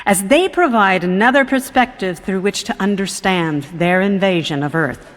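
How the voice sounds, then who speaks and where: A woman's voice: mid-range voice, lightly acted. A woman playing an earnest, composed museum guide in a radio drama, speaking formally and with ceremony. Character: composed, earnest female museum guide narrating Martian history. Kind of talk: scripted radio drama narration, lecture-like. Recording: long echoing hall reverb.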